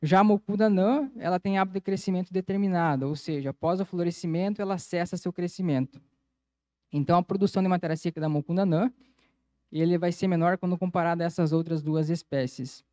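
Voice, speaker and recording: A man's voice: high-pitched, fast talker, darkish tone, no room sound, clean studio sound. A man giving an agronomy conference lecture in a methodical, explanatory way into a handheld microphone. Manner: explanatory, methodical, frequent "então" connectives. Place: auditorium stage, indoors.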